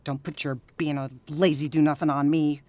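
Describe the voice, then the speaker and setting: A woman playing a feisty, teasing, hard-drinking 1930s wife in an audio drama, sassy and quick to needle. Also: low voice, little pitch movement, darkish tone, no echo, close to an everyday voice. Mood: combative.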